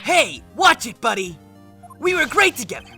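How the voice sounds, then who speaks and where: Someone doing a whiny hothead dude in a game dub, super sharp n high, over the top. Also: big pitch swings, moderate pace, husky, exaggerated voice. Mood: indignant. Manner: dramatic, indignant outbursts. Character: hot-headed, whiny, melodramatic young man on trial. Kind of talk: comedic video game fandub. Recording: faint game music behind.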